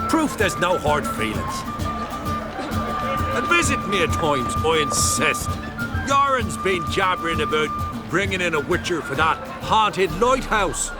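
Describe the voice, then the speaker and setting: A boisterous fantasy game warlord with a high, wildly animated voice. He is rough, blunt and folksy, a born brawler who taunts and booms. Loud music plays underneath. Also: exaggerated voice. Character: brash, boisterous island jarl, foul-mouthed brawler. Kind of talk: video game character acting.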